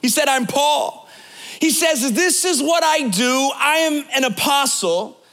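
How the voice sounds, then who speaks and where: A pastor in his late thirties preaches with a very high, bright, ringing voice. He jokes with the congregation and talks to them directly, then builds his points through repetition and sing-song emphasis. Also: animated, unhurried. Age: 30s. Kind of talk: sermon.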